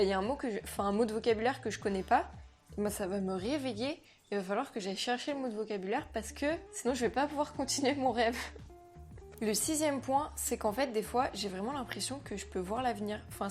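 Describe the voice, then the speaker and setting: A young woman, a French native speaker, chatting to camera in a slangy, animated way, talking about herself as a vlogger. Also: medium pitch. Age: young adult. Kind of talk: casual chat to camera.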